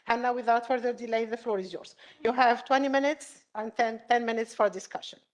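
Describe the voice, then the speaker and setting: Hesitant woman chairing a session, a bit high. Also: fairly even intonation, a little fast. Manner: hesitant, many "uh" fillers. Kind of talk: introducing speakers. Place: conference room.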